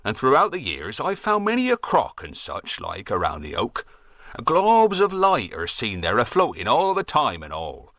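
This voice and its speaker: A man's voice: medium pitch, huge pitch swings, moderate pace, a bit bright, dry recording. A man doing a rambling old West Country farmer. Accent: West Country English. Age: older. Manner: rambling folksy dialect storytelling.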